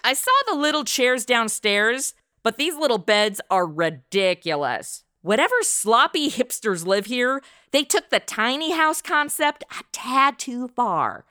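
A woman's voice: on the high side, lively intonation, a little slow, hardly any pauses, pushed and bright, clean tone. A woman playing a sassy, entitled modern princess in a comic radio play, sarcastic, slangy and exasperated. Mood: wry, musing.

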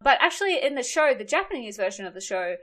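A woman's voice: on the high side, very animated, quick pace, fairly bright tone. A woman in her thirties with an Australian accent, a guest chatting on a podcast and often laughing. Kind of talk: casual podcast chat.

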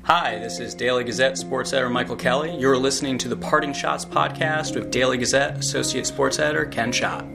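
A man with a gravelly, creaky voice delivers a scripted podcast ident. Music plays clearly underneath his announcement. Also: mid-range voice, a little fast, hardly any pauses. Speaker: sports editor.

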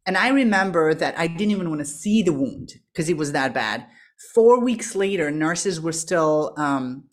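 A woman in her thirties from Serbia, a podcast guest telling her life story in a candid, animated way. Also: low voice, moderate pace. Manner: candid, animated, frequent "like" and quoted self-talk. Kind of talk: conversational storytelling.

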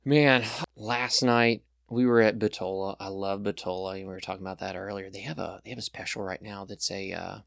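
Young guy w a deep gravelly voice, excited rambling, lots of likes and ums. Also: fairly quick, few pauses, no echo, clean studio sound. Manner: rambling, enthusiastic, many fillers. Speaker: podcast guest, pilot. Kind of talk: casual chat.

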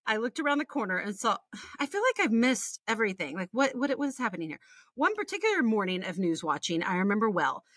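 A woman's voice: medium pitch, sing-song, quick pace, fairly bright tone, no room sound, clean studio sound. An American woman in her forties, a podcast co-host reading a personal story aloud with candid, wry, chatty asides and casual swearing. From Texas, United States. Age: 40s.

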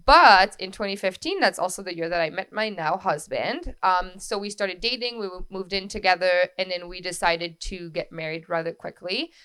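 A woman in her thirties from France with a French accent, telling her story as a podcast guest in a lively way with quick asides. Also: fairly low pitch, clean tone. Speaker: guest, wedding coordinator. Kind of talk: casual interview storytelling.

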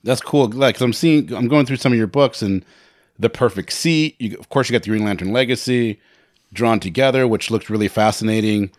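A man's voice: lowish voice, brisk, dry recording, clean studio sound. A man hosting a casual interview, asking loose, enthusiastic questions with frequent restarts. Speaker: podcast host.

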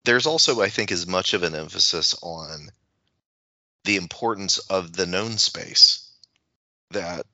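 A man with a deep, strikingly bright voice talks through literature at a slow, halting pace. He feels his way through each thought, repeating phrases as he goes, on a dry, slightly dull recording. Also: expressive, no room sound. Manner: halting, searching, thinks aloud in repeated phrases. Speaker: podcast panelist. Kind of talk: reflective literary discussion.

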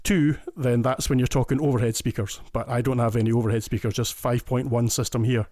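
A man's voice: medium pitch, fairly even intonation, a little fast, a little mellow, no room sound. Chatty Scottish man, dry close sound. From Scotland. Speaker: guest listener. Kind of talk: casual explaining.

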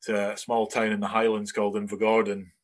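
A man's voice: lowish voice, completely flat delivery, a little fast. A man in his forties with a Scottish accent carrying some English traces, telling his life story as a podcast guest in a rambling, chatty way. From Scotland. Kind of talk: casual interview chat.

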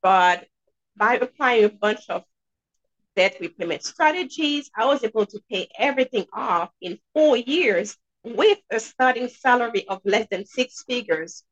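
A woman in her thirties with a Haitian accent speaks in a fairly high voice. She talks conversationally as an interview guest, with emphatic repetition. The recording sounds a little muffled and lo-fi. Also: expressive, measured pace, fairly bright tone. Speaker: podcast guest, dentist and debt coach. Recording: slightly dull, lo-fi sound.

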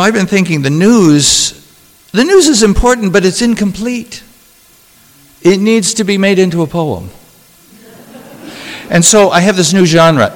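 A man reading his own poetry and talking about it, in a deliberate, measured way with dramatic pauses. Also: a little high. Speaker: poet reading own work. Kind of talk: poetry reading with spoken asides. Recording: long hall reverb.

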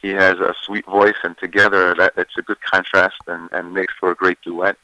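Deep-voiced man, dry close sound. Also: normal speed, no echo, low-quality recording. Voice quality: deeper voice. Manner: relaxed, many fillers and restarts. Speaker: singer interviewed about his album. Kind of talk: casual interview chat.

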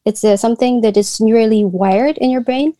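A woman's voice: a little high, a little fast, warm tone, dry recording, studio quality. A woman in her thirties from the Philippines, a guest in a conversational interview, speaking hesitantly with frequent restarts. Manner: hesitant, many restarts and fillers.

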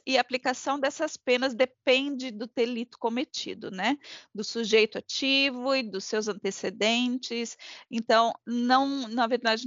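A woman's voice: a little high, dry recording. A woman with a foreign accent in her Portuguese, explaining law informally and searching for words. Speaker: podcast panelist explaining law. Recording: dull lo-fi, dry close sound.

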